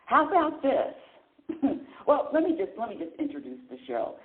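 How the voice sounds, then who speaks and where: A woman's voice: high-pitched, sing-song, moderate pace. Chatty woman radio host on a tinny line. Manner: chatty, rambling, restarts often, laughs easily. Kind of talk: casual live call-in radio talk. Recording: echoey, tinny phone-line sound.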